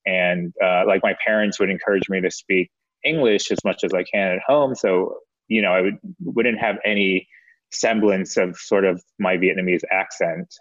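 Male, American-born, lowish voice, hesitant and reflective casual storyteller, slightly muffled lo-fi audio. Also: steady tone, moderate pace, dry recording. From United States. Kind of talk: casual chat, personal storytelling. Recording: dull, band-limited audio.

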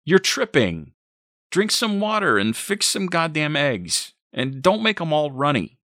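A man voicing a gruff, dismissive husband in an audiobook, brusque and scornful. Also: low voice, big pitch swings, moderate pace, fairly bright tone, no echo, clean studio sound, heavily acted. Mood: annoyed, dismissive.

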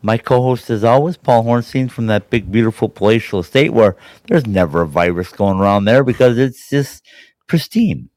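A man hosting a college hockey podcast, hoarse and raspy from a cold, talking enthusiastically and rambling with fillers and restarts. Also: medium pitch, lively intonation, normal speed, darkish tone, dry recording. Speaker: podcast host. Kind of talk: casual sports chat.

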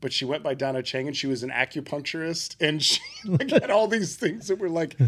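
A man's voice: fairly high pitch, steady tone, a little fast, a bit bright. Guy cohosting a chill podcast, laughs a lot while telling a story. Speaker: podcast co-host. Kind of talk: casual chat.

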